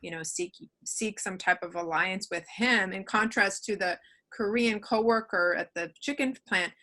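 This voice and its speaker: Female panelist with a bright, forceful, mid-pitched voice and a thoughtful delivery. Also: normal speed. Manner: thoughtful, some fillers. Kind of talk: panel discussion. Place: video call.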